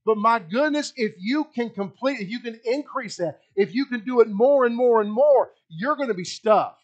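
A middle-aged man with a Kentucky accent preaches in a small church hall, pacing the low stage with a handheld mic. His voice sits high for a man, and he speaks in an animated way, often circling back to repeat his words. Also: moderate pace, lo-fi sound. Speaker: pastor. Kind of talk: lively sermon. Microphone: handheld mic, filmed by a far wide camera.

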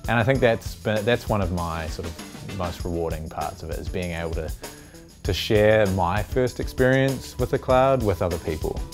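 A man's voice: low voice, moderate pace, over music. A young man, a designer, talks reflectively in a documentary interview and often restarts his sentences. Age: young adult. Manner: reflective, with frequent restarts and filler "uh". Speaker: designer, studio founder. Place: large indoor design workshop. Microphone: lapel mic.

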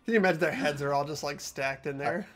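A man's voice: fairly high pitch, quick pace. A man chatting casually with friends during a group game playthrough.

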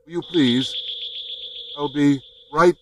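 Over a clearly noisy field broadcast, a frightened male radio reporter gives eyewitness commentary in old 1930s radio drama style. His voice sits fairly high for a man. He speaks very slowly and haltingly, in awe, with dramatic pauses. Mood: shaken. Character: awestruck, increasingly terrified male radio news reporter.

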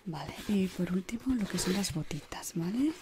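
A woman in her thirties with an accent from Spain, whispering softly and soothingly in an ASMR shop assistant roleplay, close to the mic. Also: mid-range voice, animated, normal speed, background noise. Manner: soft, soothing whispering and murmuring. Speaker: ASMR creator playing a shoe shop assistant. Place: small indoor room.

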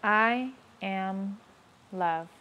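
A woman slowly and calmly leading guided self-affirmations, repeating each line twice. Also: mid-range voice, dull audio. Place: outdoors on a rainy day.

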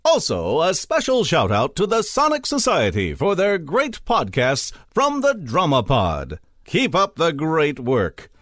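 A man's voice: fairly high pitch, wildly swooping pitch, measured pace, a bit bright, no echo, studio quality, heavily acted. An actor playing a vain, pompous male news anchor in a comedy radio newscast. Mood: smug. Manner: pompous, self-admiring. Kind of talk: comedy newscast parody, scripted.

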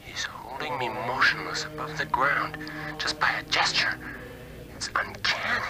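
An actor playing a proud, desperate American surgeon in a theatrical, urgent 1960s radio drama manner. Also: male voice, mid-range voice, a little slow, whispered, over music, close to an everyday voice, low-quality recording. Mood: stunned.

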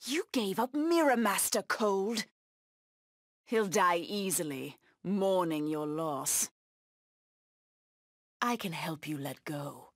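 A woman's voice: lowish voice, expressive, slow, pauses often, no echo, clean studio sound, full character voice. An actress voicing a proud, bloodthirsty cat-woman huntress villain in a video game, with menacing, taunting delivery. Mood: low, contemptuous.

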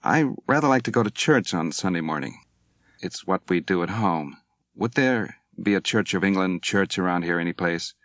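A man, playing a calm, steady naval commander who talks in a measured, plain way. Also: sits low, no room sound. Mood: polite.

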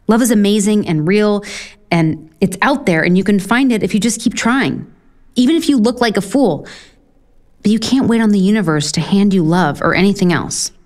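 A woman's voice: medium pitch, close to an everyday voice. An actress playing an earnest, self-deprecating woman author in a romantic comedy audio drama, frank, rambling and profane.

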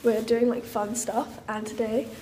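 A woman's voice: a little high, little pitch movement, a little slow, dark tone. A young woman chatting to the camera as a vlogger, upbeat and talkative, often while hiking outdoors. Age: young adult. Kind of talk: casual vlog chat. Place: outdoors on a windy hillside and indoors. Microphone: phone held at arm's length. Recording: noisy phone recording.

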